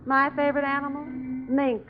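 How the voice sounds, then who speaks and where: A woman's voice: high voice, little pitch movement, moderate pace, close to an everyday voice. An actress playing a brassy, bored young showgirl with offhand, slangy diction in an old-time radio comedy. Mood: bored, aloof. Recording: muffled, noisy vintage radio recording.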